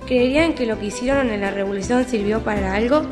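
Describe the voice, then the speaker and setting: A woman's voice: fairly high pitch, quick pace, music in the background. A young woman reading scripted history narration in a student radio play. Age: teenager or young adult.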